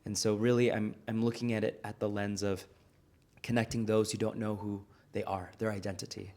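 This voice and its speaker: Earnest man with a low voice. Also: level tone, fairly quick, darkish tone. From United States. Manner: earnest, reflective storytelling. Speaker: guest, restaurant founder. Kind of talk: conversational interview.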